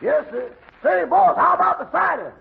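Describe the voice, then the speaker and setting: A man playing a cheeky, grumbling valet with a raspy voice in 1940s radio comedy. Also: very high voice, expressive, normal speed, a faint crowd, full character voice. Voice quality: gravelly, raspy. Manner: wisecracking back talk. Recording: tinny, noisy 1940 radio recording.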